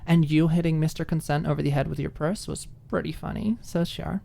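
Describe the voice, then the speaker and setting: A woman's voice: low voice, steady tone, fairly quick, creaky, dark tone. A woman voicing a cocky, tough college student with a blunt, sardonic, teasing way of talking. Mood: smug teasing.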